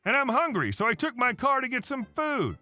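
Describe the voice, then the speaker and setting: Man doing a high, shrill, bossy entitled mom, lively and accusing, in a storybook narration. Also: brisk, faint music behind, full character voice. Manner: indignant, bossy. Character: loud entitled middle-aged mother, accusing and shrill.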